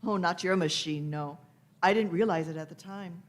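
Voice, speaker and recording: A woman's voice: on the low side, close to an everyday voice. Woman acting a wry, knowing time traveler. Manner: deliberate, knowing, punctuated with hmms. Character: wry, shrewd, teasing time-traveling Doctor. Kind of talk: live radio-play acting.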